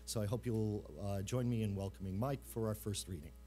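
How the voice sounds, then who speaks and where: A man acting as master of ceremonies, giving informal, halting introductions to a live audience. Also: lowish voice, fairly even intonation, mellow, slight background noise. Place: live event room.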